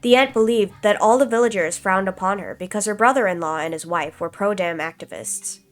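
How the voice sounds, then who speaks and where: A woman streamer with a stuffy nose and a slight cough, reading a visual novel aloud in a calm, even way, with casual asides to her chat. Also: mid-range voice, fairly quick.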